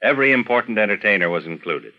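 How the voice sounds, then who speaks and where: A man's voice: on the low side, expressive, fast. An American man reading scripted radio documentary narration as the narrator. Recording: dull vintage radio recording.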